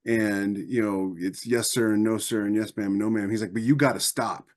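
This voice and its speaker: A man's voice: fairly low pitch, steady tone, normal speed, a little mellow. An American man co-hosting a podcast, sharing personal anecdotes in a conversational, wry manner. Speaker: podcast co-host. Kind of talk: casual chat, personal anecdotes.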